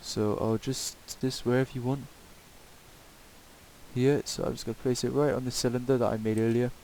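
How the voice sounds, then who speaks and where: A man giving a casual, improvised software tutorial in a hesitant, rambling way. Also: medium pitch, little pitch movement, normal speed, poor audio. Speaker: tutorial presenter. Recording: hissy home microphone recording.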